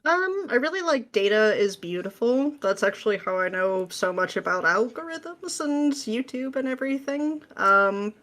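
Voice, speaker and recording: A woman's voice: on the high side, animated, moderate pace, few pauses, a bit bright. A woman talking as a podcast guest in an informal, wry, joking conversational manner. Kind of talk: casual chat.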